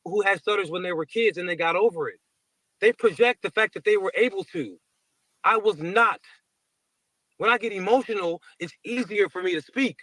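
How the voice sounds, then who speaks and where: A man on a podcast panel, talking defensively and slowly, with careful pauses. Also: sits high, bright, forceful tone, dry recording, rough recording. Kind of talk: heated podcast panel talk.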